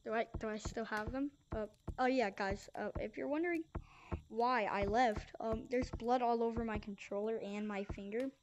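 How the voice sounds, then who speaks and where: A person hosting an in-game talent show in casual chat, with the voice run through a pitch-raising voice changer, sounding like a woman. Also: normal speed, noisy background. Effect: high-pitched voice changer. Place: virtual reality game session. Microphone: VR headset mic.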